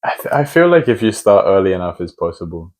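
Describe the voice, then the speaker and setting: A man's voice: deep voice, sing-song, fast talker, a little mellow. A man hosting a podcast, asking long, thoughtful hypothetical questions in a casual conversation. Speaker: podcast host.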